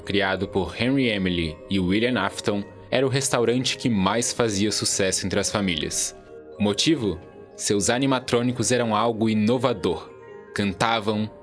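A man's voice: lowish voice, moderate pace, faint music behind. A man reading scripted narration as the storyteller of an audio drama.

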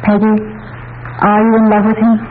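An actress playing a dry, brisk middle-aged wife and mother in a 1940s radio play. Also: medium pitch, monotone, natural voice. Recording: old radio broadcast, tinny with loud hiss.

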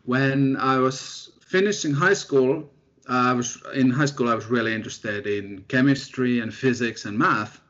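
A young man from Finland, a researcher, chatting in an interview in a relaxed, self-deprecating way with lots of fillers. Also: mid-range voice, normal speed. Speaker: guest researcher. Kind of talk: casual interview chat. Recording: dull lo-fi sound.

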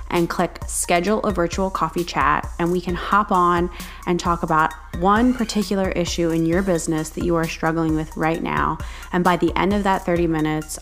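Woman podcast host, lowish creaky slightly raspy voice, talking straight to listeners. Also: fairly bright tone. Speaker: podcast host and business coach. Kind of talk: podcast monologue, promotional then casual chat.

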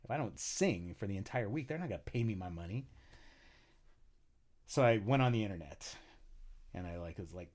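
Lively, swearing man telling a story. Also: on the low side. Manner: animated, profane, laughs often. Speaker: podcast guest, singer. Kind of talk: casual chat, storytelling.

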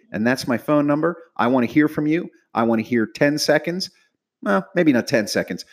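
A man with a Pittsburgh accent hosts his podcast in an upbeat promo, his pitch bouncing widely up and down. He repeats himself like a salesman and leans hard into every plug. Mid-pitched, energetic and eager. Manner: enthusiastic, repetitive, salesy. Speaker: podcast host.

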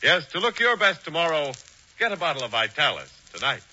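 A man's voice: fairly high pitch, sing-song, brisk. A man working as a radio announcer, reading scripted advertising and credits in a 1940s announcing style. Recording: muffled 1940s radio broadcast, music and audience behind.

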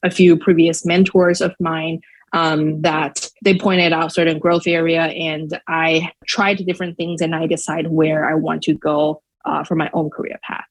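A woman from Shanghai with a Chinese accent, answering interview questions thoughtfully and filling pauses with "um" and "like". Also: low-pitched, flat delivery, rough recording. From Shanghai, China. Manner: thoughtful, many fillers like "um" and "like". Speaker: podcast guest.

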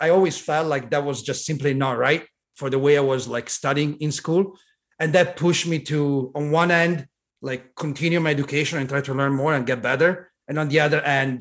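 A man in his thirties from Italy with an Italian accent, telling his story as a guest in a relaxed, rambling way full of "like" and restarts. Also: fairly high pitch. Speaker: guest, strength coach. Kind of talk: casual conversational storytelling.

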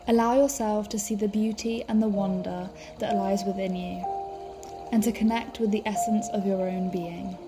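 Woman guiding a meditation over music. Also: mid-range voice. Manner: calm, soothing, measured delivery. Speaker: meditation guide, podcast host. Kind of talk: guided meditation narration. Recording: music behind the voice. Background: background music.

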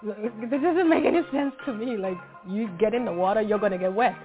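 A woman's voice: mid-range voice, fairly quick. A young woman, a model, telling an anecdote with incredulity and many "like" fillers. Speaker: fashion model. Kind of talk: interview anecdote. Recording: phone-like sound with faint music.

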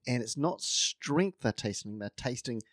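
New Zealand-accented man, mid-pitched, dry close mic, podcast co-host who explains things. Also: lively intonation, unhurried, a bit bright, no room sound. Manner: explanatory, confident, restarts mid-sentence. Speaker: coffee expert co-host. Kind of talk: casual podcast chat.

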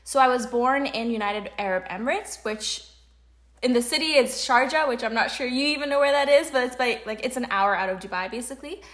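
A young Canadian woman in her early twenties with a fairly high voice. She talks as a podcast co-host, conversational and articulate, often spinning out her thoughts at length. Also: fairly quick, fairly bright tone. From Canada, grew up in Vancouver. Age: early 20s.

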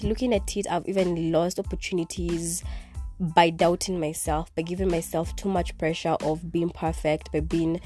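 A woman's voice: lowish voice, dark tone. A young Kenyan woman whose first language is Kikuyu hosts a casual solo podcast from her bed. She records on her phone and rambles, filling her talk with "like" and slipping in Swahili words. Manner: rambling, heavy use of "like", mixing in Swahili words. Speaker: podcast host.

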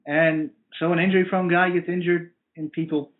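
Heard through a narrow, phone-like connection, a man with a fairly high voice co-hosts a sports show. He chats informally about the game. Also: level tone, a little fast. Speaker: sports podcast co-host. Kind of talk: casual sports chat. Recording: dull, lo-fi voice link.

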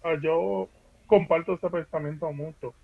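A man's voice: on the high side, fairly even intonation, unhurried, vocal fry, husky, dry recording, narrow, tinny audio. A man hosting a podcast, chatting casually with a guest. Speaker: podcast host. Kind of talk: casual conversational interview.

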